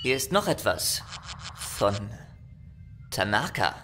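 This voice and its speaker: A man's voice: medium pitch, very animated, slow, pushed and bright, faint music behind, exaggerated voice. A man playing a level-headed, practical starship technician in a sci-fi audio drama. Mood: focused.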